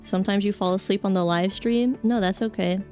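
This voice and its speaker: A woman's voice: mid-range voice, steady tone, fairly quick, a little mellow, faint music behind. A young adult woman streamer chatting casually, rambling with lots of fillers and offhand jokes. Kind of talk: casual chat stream, reading chat.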